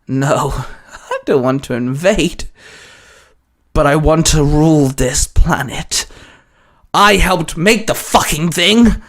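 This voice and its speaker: Guy doing a power-mad doctor villain, raised pitch, slow grand proclamations, story reading. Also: a bit bright. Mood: menacing. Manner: theatrical, drawn-out proclamations.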